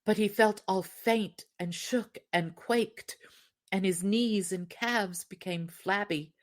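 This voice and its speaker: A woman narrating a fairy tale as an expressive storyteller. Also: medium pitch, slow pace. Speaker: storyteller, podcast reader.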